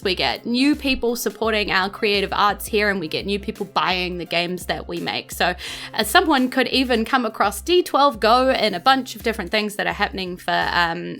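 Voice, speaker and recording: A woman's voice: mid-range voice, a bit bright. A woman with a New Zealand accent, effusive and gushing as she hosts a casual podcast chat. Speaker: podcast host giving thanks and announcements.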